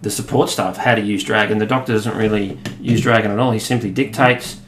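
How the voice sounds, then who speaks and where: A man in his thirties with an Australian accent, explaining a software demo in a relaxed way, with many fillers. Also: fairly low pitch, brisk, slight background noise. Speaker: product demonstrator. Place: office room, indoors. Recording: echoey room with faint ambience.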